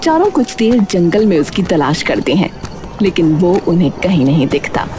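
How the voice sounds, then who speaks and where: A woman's voice: fairly low pitch, lively intonation, moderate pace, noisy background. A woman reading a scripted moral story as its narrator. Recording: background music under the voice.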